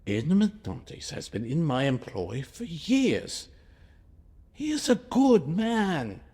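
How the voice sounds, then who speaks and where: An actor playing a troubled, kindly shipowner in a period audio drama, speaking earnestly in halting, emphatic phrases. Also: male voice, on the high side, sing-song, darkish tone, slightly rough, heavily acted. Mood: earnest, pleading.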